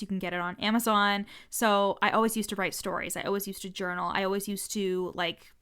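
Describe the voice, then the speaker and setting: A woman's voice: medium pitch, fairly bright tone, smooth. A woman business coach talking frankly in a casual podcast monologue, swearing freely, with a stutter.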